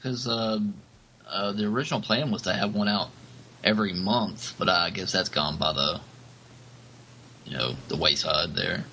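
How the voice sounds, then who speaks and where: Low gravelly voice, forceful bright tone, man, rambling casual podcast chat. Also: moderate pace, long pauses, slight background noise, low-quality recording. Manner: rambling, leans on "you know". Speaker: podcast co-host.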